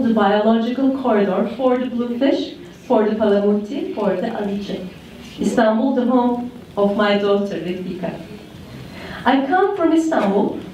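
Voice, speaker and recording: A woman with a Turkish accent delivers a slow, repetitive, poetic speech from a stage. Her voice is mellow and a little high. It comes from well back in a big, echoing hall with a faint hum behind it. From Turkey. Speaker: conference speaker. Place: large conference hall. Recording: echoey hall, speaker far from mic.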